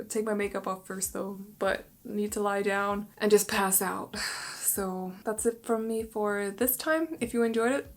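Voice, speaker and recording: A young woman from Denmark, Danish by birth, chatting casually to camera as a book vlogger, tired and rambling with frequent laughs. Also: mid-range voice. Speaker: booktuber.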